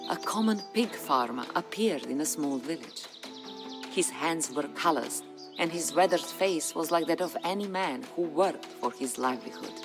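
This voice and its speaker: An actor plays a solemn chronicler for a historical strategy game. The delivery is dramatic scripted narration, grave and measured, at a middle pitch. Music plays clearly beneath the voice. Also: expressive, natural voice. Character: solemn storyteller chronicling a medieval Bulgarian uprising. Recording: music under the voice. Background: background music.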